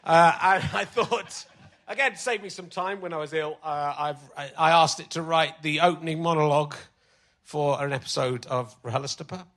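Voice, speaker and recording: A male comedian hosting a live podcast on a theatre stage, chatty and self-mocking, his voice slightly croaky from illness. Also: on the high side, normal speed, a bit bright. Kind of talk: live stand-up banter on stage.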